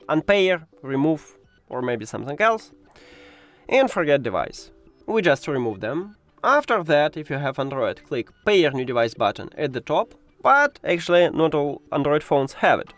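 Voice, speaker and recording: Male tech presenter, non-native English speaker, fairly high voice with very animated intonation, guiding viewers through steps, faint music behind. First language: not English. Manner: instructional, addressing the viewer directly. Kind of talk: step-by-step spoken tutorial.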